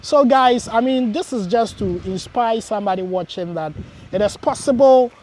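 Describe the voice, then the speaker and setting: A man's voice: very high voice, moderate pace, crowd noise. A man hosting an interview, asking questions and encouraging with frequent short replies. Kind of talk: casual interview.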